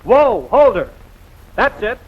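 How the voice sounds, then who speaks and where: A man's voice: extremely high pitch, very animated, takes it slowly, highs cut off. An American man narrating a scripted 1940s newsreel in a formal, emphatic, rousing announcer's delivery, on a vintage film soundtrack.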